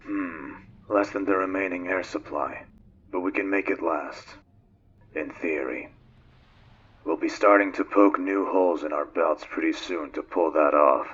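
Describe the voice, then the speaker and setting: A man playing a brooding, weary acting captain of a space freighter, measured and dry, in a fan-dubbed game. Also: low-pitched, a bit bright, highs cut off, a little hiss or hum. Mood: pensive, dry. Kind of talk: dramatic fan dub of a video game. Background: music.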